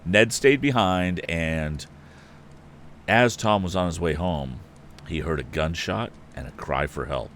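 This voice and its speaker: A man's voice: low voice, a little slow, fairly bright tone, slight background noise. A man hosting a live story reading, narrating expressively and dramatically with casual asides. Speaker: host and reader of a live story stream.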